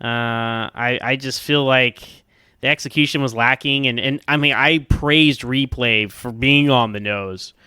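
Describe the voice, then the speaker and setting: A man with a bright, clean voice talks through a casual television review in a close, dry recording. He rambles and stammers as he works through his opinions, speaking like a podcaster. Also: mid-range voice, a little slow, clean tone, no echo. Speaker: podcaster giving listener review. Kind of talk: casual spoken TV episode review.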